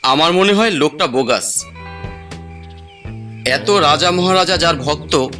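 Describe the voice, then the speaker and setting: A man's voice: fairly high pitch, slow, sharp tone, faint music behind. A man playing a skeptical, wry friend in an audio drama, dry and doubting. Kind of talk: Bengali audio drama acting.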